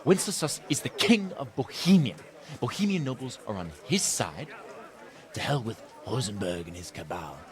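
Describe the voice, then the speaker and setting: A man's voice: mid-range voice, very animated, normal speed, a crowd in the background, lightly acted. A man playing a hot-headed, scornful loyalist townsman who declaims emphatically in a video game cutscene.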